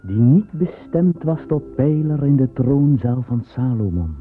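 A man narrating an old radio play in a solemn, measured, incantatory way. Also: medium pitch, lively intonation. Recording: tinny old radio recording with music.

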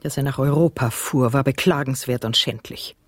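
A man's voice: mid-range voice, moderate pace, no room sound, studio quality, natural voice. A man playing a sour, pious, stern widow in a radio play, curt and scornful in manner. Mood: cold, reproachful.

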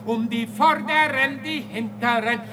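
An actress playing a mocking street ballad singer in a radio play, half singing and declaiming to the common folk. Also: female voice, on the high side, measured pace, background noise, natural voice. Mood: declamatory, sly. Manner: declamatory, theatrical, half sung.

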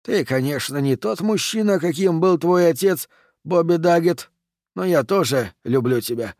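A man's voice: a little high, animated, measured pace, a little mellow, no echo, clean studio sound, pitched above the performer's own voice. A man reading an audiobook and voicing a stern, dying old man who gives curt orders and prays through his pain. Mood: earnest.

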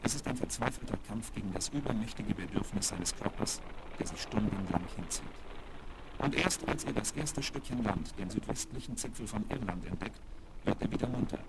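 A man's voice: fairly low pitch, lively intonation, normal speed. A man narrating a children's radio play with scripted, dramatic storytelling. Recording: noisy old vinyl rip.

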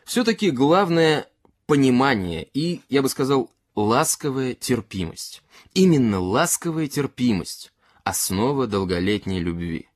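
A man's voice: medium pitch, big pitch swings, unhurried, no room sound, studio quality, voice pushed up. A man voicing a tipsy, earnest character in a literary story who philosophises courteously and emphatically, in a rambling way. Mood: insistent, philosophical.

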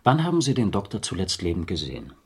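An actor playing a gruff, sardonic police inspector in a crime radio play, questioning in a dry, brusque way. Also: male voice, deep voice, wildly swooping pitch, fast, background noise. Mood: dry, probing. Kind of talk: German crime radio drama acting.

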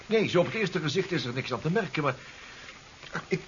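An actor playing a modest inventor, chatty and hesitant with a slight stammering delivery, in a 1970s Dutch radio drama. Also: male voice, fairly high pitch, animated, fairly quick, close to an everyday voice. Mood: hesitant, uneasy. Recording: dull, noisy 1970s radio recording.

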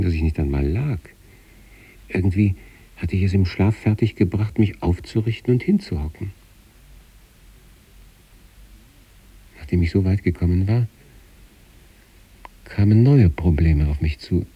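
An introspective male patient recounting uncanny experiences. His voice is very deep and dark, with a husky grain. He narrates in a measured, deliberate literary radio manner. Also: highs cut off. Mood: wondering, uneasy.

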